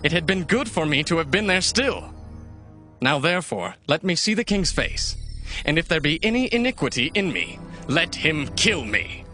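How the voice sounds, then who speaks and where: Bright-voiced, fervent scheming young prince. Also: male voice, a little high, sing-song, normal speed, soft background music. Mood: aggrieved, urgent. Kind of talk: dramatized Bible audio acting.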